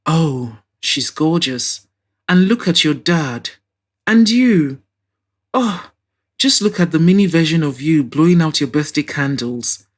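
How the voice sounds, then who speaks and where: A woman voicing a bossy, affectionate young female best friend in an audio drama, excitable, emphatic and blunt. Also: mid-range voice, slow pace. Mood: delighted.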